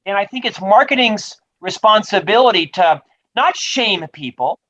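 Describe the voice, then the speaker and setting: A man, a book author, answering rapid-fire interview questions in an upbeat, promotional way over a video call. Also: high voice, expressive, a bit bright, highs cut off. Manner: upbeat, promotional, says he stutters but talks fluently. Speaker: author and guest.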